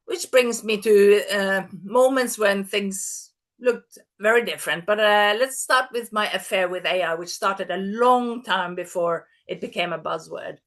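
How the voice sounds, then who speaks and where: A woman's voice: medium pitch, measured pace, fairly bright tone. A woman, presenting an online workshop over a video call in an upbeat, chatty way. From Norway. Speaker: masterclass presenter.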